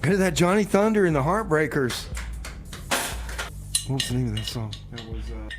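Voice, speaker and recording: An earnest radio sidekick played by a man rambles through loose banter in a slightly high voice, circling back over his own phrases. Clear background noise and clatter sit behind him. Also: big pitch swings, normal speed. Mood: chatty. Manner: rambling, repeats himself. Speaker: radio show host and DJ. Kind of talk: casual improvised radio banter.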